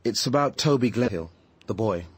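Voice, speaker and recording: An actor playing an earnest, troubled British activist, confiding and insistent, in a radio drama. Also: male voice, mid-range voice, animated, moderate pace, slightly rough. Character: earnest, troubled male anti-nuclear activist living in a caravan.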